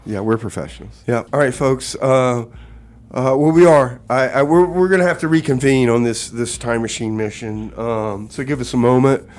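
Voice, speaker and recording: A man's voice: mid-range voice, normal speed, darkish tone. A man hosting a radio comedy and music show, chatting to listeners in a folksy, exclamatory, exasperated way. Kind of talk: live comedy radio banter and music announcing.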